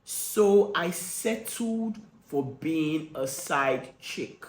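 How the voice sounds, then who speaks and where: A man with a croaky cold voice reading the letter of a hurt, guarded woman in her late forties. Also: low-pitched, expressive, very slow, roomy sound, heavily acted. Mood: confessional. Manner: earnest, confessional reading.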